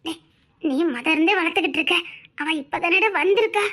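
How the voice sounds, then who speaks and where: A woman's voice: very high-pitched, a little fast, a bit bright. An actress playing a family woman who firmly reassures and scolds a grieving man, telling him to stop crying. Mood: reassuring. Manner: scolding yet reassuring, calls him "daa". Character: firm, comforting woman of the family. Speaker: relative consoling a grieving man. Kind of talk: serial drama acting.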